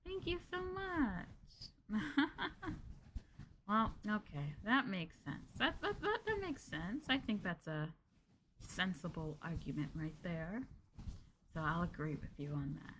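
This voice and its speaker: A young woman streamer chats softly with her viewers in a cozy ASMR roleplay stream. Her voice sits at an ordinary middle pitch, gentle and giggly, often sinking to a hush. A faint hiss runs underneath. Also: big pitch swings, slow, rough recording.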